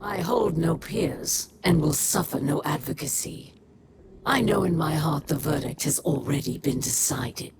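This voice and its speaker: A woman voicing a proud, bitter older female alien warlord in a video game, speaking formally and haughtily in a gravelly voice. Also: low-pitched, heavy background noise, exaggerated voice. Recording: loud music behind.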